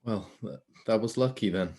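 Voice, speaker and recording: A man playing a proud, firm husband and judge in a screenplay table read, speaking deliberately. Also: lowish voice, dark tone. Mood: polite, grateful.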